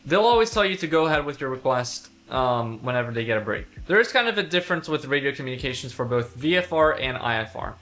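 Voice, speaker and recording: A man's voice: medium pitch, big pitch swings, fast talker, few pauses, fairly bright tone. A young man giving a casual, conversational instructional talk for a video, stressing his key points in an informal way. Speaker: instructor, video host and pilot.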